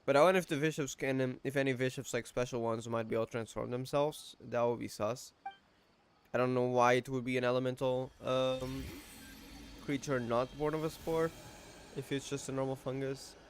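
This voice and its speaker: A man's voice: mid-range voice, fairly even intonation, brisk, a little hiss or hum. A man casually talking over gameplay, chatty and prone to blurting suspicious remarks and quips. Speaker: gaming reaction host.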